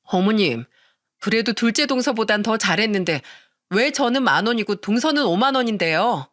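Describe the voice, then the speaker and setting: A woman's voice: fairly high pitch, very animated, takes it slowly, fairly bright tone, no echo, clean studio sound, full character voice. A woman voicing a fussy, entitled eldest daughter-in-law in a family story, pleading and indignant in manner. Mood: indignant protest. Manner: gushing, whining, indignant.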